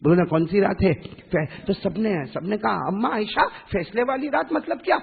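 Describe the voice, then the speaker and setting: A man's voice: high voice, expressive, normal speed, gravelly, husky, narrow, tinny audio. A man preaching an Islamic sermon in a mosque, impassioned and rhetorical, repeating phrases and prompting the congregation to answer. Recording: reverberant hall, long echo.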